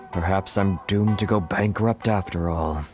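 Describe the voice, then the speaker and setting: An actor voicing a worried foreign merchant in a game, who sighs often and sounds apologetic. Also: male voice, low-pitched, fairly even intonation, slow. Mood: anxious. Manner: fretful, sighing and apologetic. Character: anxious foreign merchant, sighing and put-upon. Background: game music.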